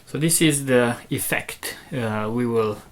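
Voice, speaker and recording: Slow-paced, halting technical demonstrator. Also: mid-range voice, slight background noise. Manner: hesitant, halting delivery in short fragments. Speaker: presenter demonstrating audio equipment. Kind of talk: technical demonstration, unscripted explanation.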